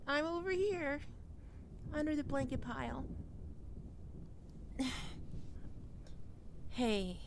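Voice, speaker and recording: High-pitched, flustered catgirl, acted by a woman. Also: background noise. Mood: shy, uneasy. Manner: stammering, flustered, prickly. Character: skittish, defensive young female catgirl roommate, secretly frightened. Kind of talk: ASMR roleplay acting.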